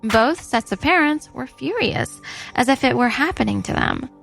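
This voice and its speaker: A woman's voice: high voice, expressive, normal speed, gravelly, a bit bright, soft background music, heavily acted. A woman voicing a hurt, earnest young wife who narrates her own story in a manga dub. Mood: somber narration. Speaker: narrator and lead character. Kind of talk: scripted first-person story narration and dubbed dialogue.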